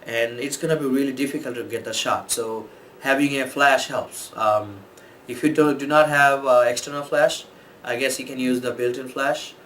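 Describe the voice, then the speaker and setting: A man from Bangladesh, a photographer, giving casual tips in a relaxed, rambling way with many fillers and restarts. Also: medium pitch, normal speed, a little hiss or hum. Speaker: photographer and host. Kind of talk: casual explainer talk.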